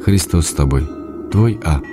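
A man's voice: deep voice, animated, moderate pace. An actor playing an ailing middle-aged writer, an ironic and tender husband reading his letters in a radio drama. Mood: affectionate. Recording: music playing behind the voice.

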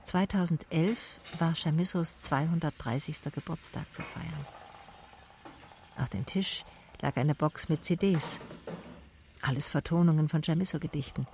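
Low-voiced, observant female detective. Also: a little slow, darkish tone. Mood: observant, matter-of-fact. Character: observant, probing female private detective, reflective and matter-of-fact. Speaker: actor and narrator in a radio play. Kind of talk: first-person radio drama narration and dialogue.

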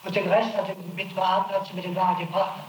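A man's voice: high voice, flat delivery, fast talker, roomy sound, slight background noise, poor audio. A man under interrogation, giving defensive and evasive answers on an archival tape.

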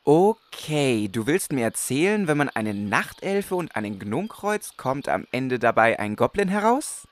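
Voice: medium pitch, sing-song, unhurried. An actor playing an earnest, exasperated young adventurer with a sarcastic, incredulous manner in a comic fantasy radio play.

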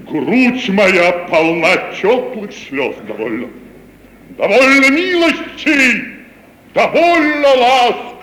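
An actor playing a proud, sentimental tragedian who declaims pompously in a 1950s theatrical radio play. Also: male voice, high-pitched, fairly bright tone, exaggerated voice. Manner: declamatory, pompous, tearfully solemn. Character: grandiloquent tragedian nephew, proud, noble, sentimental. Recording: old noisy echoing radio recording.

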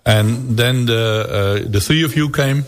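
A man's voice: mid-range voice, measured pace. Male radio host, easygoing interviewer, mid-range voice. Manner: relaxed, prompting questions with fillers.

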